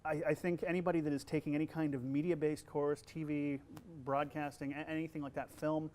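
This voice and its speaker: Young-sounding man, relaxed with fillers. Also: a little high, a little fast, a little hiss or hum. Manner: relaxed, many fillers and restarts. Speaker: voice actor and college instructor. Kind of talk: casual interview answers.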